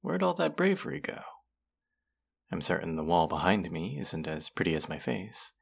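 A man's voice: low-pitched, sing-song, normal speed, gravelly, breathy edge, heavily acted. A man acting a condescending, mocking young twin brother in a roleplay audio drama, with a teasing, sarcastic drawl. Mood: teasing, intimate.

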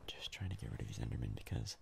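A man giving hushed, casual, halting ASMR gameplay commentary in a breathy, mostly whispered voice as a video host. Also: rumbling low, sing-song.

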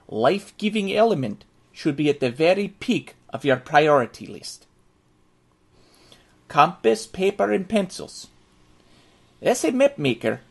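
A man playing an earnest military handbook author, narrating in a formal, measured, instructive way for an audio drama. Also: fairly high pitch, gravelly, breathy edge, heavily acted. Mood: grave instruction.

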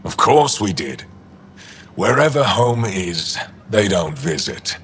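An actor playing a gruff, war-hardened alien resistance leader in a video game, terse, dry and cynical with a gravelly voice. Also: male voice, sits low, big pitch swings, takes it slowly, a bit bright, slight background noise, heavily acted. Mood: blunt, grim. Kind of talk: video game dialogue acting.

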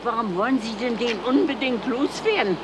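A blunt, teasing haggler. His voice is high for a man and very lively, over clear street noise in an old radio play. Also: brisk. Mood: sceptical. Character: sceptical, blunt haggling male passer-by. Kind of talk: German radio crime comedy acting, 1970s.

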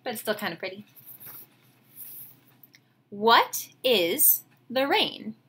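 A woman, reading a picture book aloud to children with expressive, enthusiastic, chatty asides. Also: on the high side, slow pace, husky. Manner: expressive, enthusiastic, questioning the listeners. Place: small home room, indoors.

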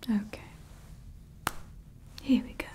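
A woman voicing a doting female evil scientist in an ASMR roleplay, whispering softly close to the mic and fussily repeating her words. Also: slow, close to an everyday voice. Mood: fussy, doting. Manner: soft whispering, repeats words fussily, calls listener darling. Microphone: close ASMR microphone.

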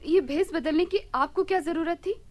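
A haughty palace queen in a radio play. Her voice is high and theatrical, full of regal protest. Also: moderate pace. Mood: alarmed. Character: indignant, regal queen, wife of the caliph. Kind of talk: Hindi radio play acting.

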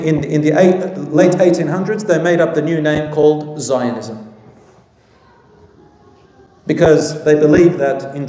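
Middle-aged male lecturer, slightly high, mellow voice, emphatic teaching, long hall reverb. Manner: emphatic, addresses audience directly. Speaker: religious lecturer. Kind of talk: weekly mosque lecture. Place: large indoor lecture room, seated before audience.

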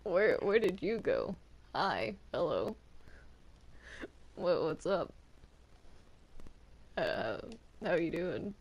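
A woman's voice: lowish voice, sing-song, extremely slow, long pauses, breathy edge. A woman chatting casually while gaming, with a hesitant, stammering way of talking. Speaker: gamer in a Minecraft roleplay. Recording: noisy home game-chat recording.

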